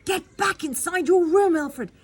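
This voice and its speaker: A woman voicing a proud, middle-aged queen who shouts commands and protests with indignant urgency in a storybook read-aloud. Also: very high-pitched, a little slow, voice pushed up. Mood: urgent.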